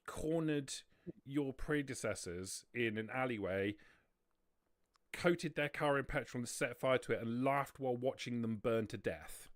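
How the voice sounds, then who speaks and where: A man speaks as a game master at a tabletop roleplaying session. His voice is mid-pitched, and he explains rules and background story to his players in a conversational way. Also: measured pace.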